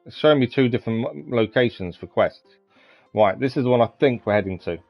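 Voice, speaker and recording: Male game streamer, casual commentary, thinking out loud, hesitant, muffled lo-fi mic, music behind. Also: medium pitch, expressive, quick pace. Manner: musing aloud, hesitant. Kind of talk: casual live gameplay commentary.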